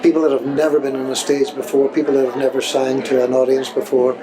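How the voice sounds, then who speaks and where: A man's voice: mid-range voice, completely flat delivery, quick pace, low-quality recording. A man talking casually in an interview. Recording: busy crowd behind the voice. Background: crowd chatter.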